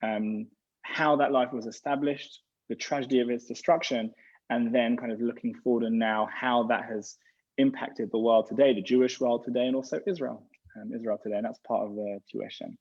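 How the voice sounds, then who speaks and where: A man's voice: medium pitch, fairly even intonation, moderate pace, a little mellow. A man, a rabbi, talking about his program in a casual interview over a video call, rambling and restarting his sentences. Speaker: rabbi representing a gap year program. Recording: dull lo-fi sound.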